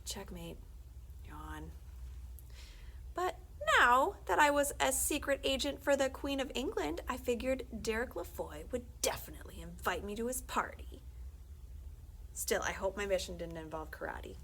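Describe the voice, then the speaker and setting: A woman reading a children's book aloud as a librarian, conversational and expressive, often dropping into hushed, confiding tones. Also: on the high side, normal speed, slight background noise. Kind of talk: animated read-aloud of a children's book with brief asides.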